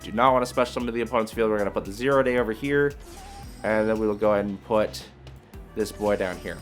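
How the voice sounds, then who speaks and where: A man's voice: lowish voice, fast talker. Casual man commentating over background music. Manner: informal, thinking aloud step by step. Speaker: video creator commentating on own card game play.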